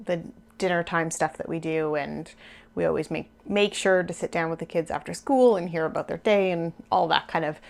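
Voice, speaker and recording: A woman in her thirties or forties hosting a knitting podcast, chatting casually and rambling with small laughs, her voice a little worn. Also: lowish voice. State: losing her voice a bit. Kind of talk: casual chat to camera.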